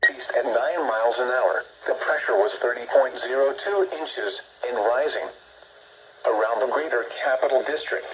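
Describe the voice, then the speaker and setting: A man's voice: mid-range voice, moderate pace, dull audio. A synthetic-sounding man reading a scripted weather report, heard through a radio speaker. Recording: noisy radio broadcast played through speaker.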